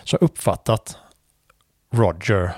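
A man calmly explaining Morse code conventions in a casual tutorial, pausing often with fillers and restarts. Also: fairly low pitch, noisy background. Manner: relaxed, hesitant with frequent fillers and restarts. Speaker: amateur radio instructor.

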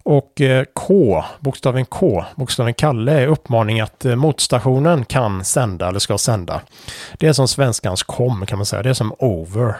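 A man calmly explaining Morse code conventions in a casual tutorial, pausing often with fillers and restarts. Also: mid-range voice, no room sound, clean studio sound. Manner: relaxed, hesitant with frequent fillers and restarts. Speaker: amateur radio instructor.

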